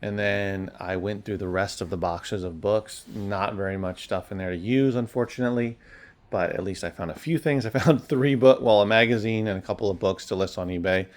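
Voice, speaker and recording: Low-voiced man chatting casually. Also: fairly quick. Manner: rambling, unscripted delivery with trailing asides. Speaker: online reseller and bookstore owner. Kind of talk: casual chat.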